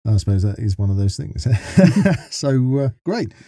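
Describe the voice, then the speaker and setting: A man's voice: medium pitch, big pitch swings, a little slow, dark tone, no echo, studio quality. A man co-hosting a podcast in a casual, chatty interview style, wordy and thinking aloud as he goes.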